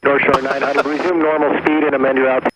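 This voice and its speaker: A man's voice: mid-range voice, flat delivery, quick pace. A man working as an air traffic controller, speaking clipped phraseology over aircraft radio. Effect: aviation radio. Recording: muffled, noisy aircraft radio.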